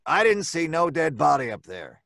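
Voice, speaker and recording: An actor playing a reluctant, fretful working-class building superintendent, hesitant, folksy and defensive, in old-time radio suspense style. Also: male voice, fairly high pitch, sing-song, normal speed, gravelly, fairly bright tone, no echo, studio quality, heavily acted. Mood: defensive, irritated.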